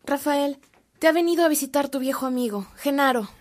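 An actress playing a priest's polite, welcoming sister in a radio drama, with a courteous manner. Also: female voice, high voice, a little fast, close to an everyday voice.

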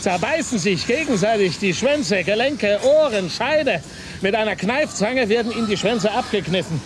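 Clear noise sits behind a high-pitched male voice. a relentless, sardonic reporter in a radio play, insistent and driven in a naturalistic way. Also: expressive, moderate pace. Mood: grim, intense. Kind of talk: naturalistic German radio drama acting.

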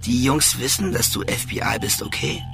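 A man's voice: medium pitch, moderate pace, vocal fry, lightly acted. An actor playing a defiant male hacker bargaining with an agent in a radio drama. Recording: music under the voice.

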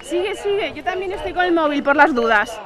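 A woman playing a steady, reassuring neighbour who joins a protest in a radio drama. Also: high-pitched, brisk. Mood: steady, urgent. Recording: loud crowd and music behind.

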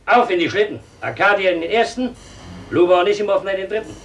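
A man's voice: high-pitched, fast talker, distant mic. An actor playing a sneering, falsely soothing henchman of a count in a 1950s German radio play, mocking and commanding. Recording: noisy 1950s radio recording, off-mic.

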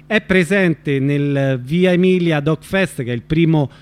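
A woman's voice: deep voice, a little slow, a little mellow. A woman hosting a live radio interview in an informal, conversational way. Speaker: radio host.

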